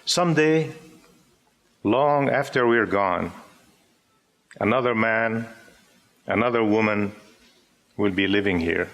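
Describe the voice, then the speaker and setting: Guy in his 50s reading poems, lowish voice, takes his time. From Syria. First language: Arabic. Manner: unhurried, measured, gentle reading voice. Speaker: poet. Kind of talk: poetry reading with spoken introductions. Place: live gathering before an audience.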